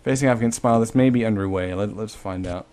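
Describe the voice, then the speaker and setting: A man's voice: lowish voice, fast. A man giving casual, joking play-by-play commentary on an online game match, swearing freely. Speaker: esports commentator.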